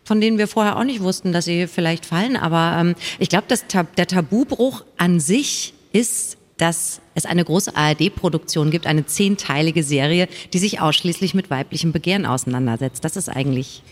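Woman, slightly low voice, author on a panel, conversational, emphatic phrasing. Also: normal speed, few pauses.

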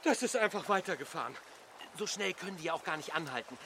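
An actor playing a timid, polite ship's clerk, stammering and easily alarmed, in a children's radio play. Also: male voice, fairly high pitch, expressive, fast talker, pushed and bright, a little hiss or hum. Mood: sheepish.

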